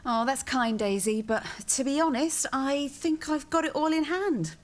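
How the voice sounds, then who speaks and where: An actress playing a good-humoured, self-reliant young pub cook in a naturalistic radio soap. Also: female voice, sits high, a little slow. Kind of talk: naturalistic radio drama acting.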